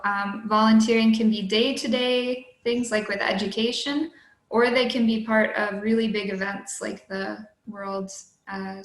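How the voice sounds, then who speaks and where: A woman hosting an online discussion, encouraging each speaker in turn. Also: on the high side. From United States. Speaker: discussion host. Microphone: video call. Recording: echoey room.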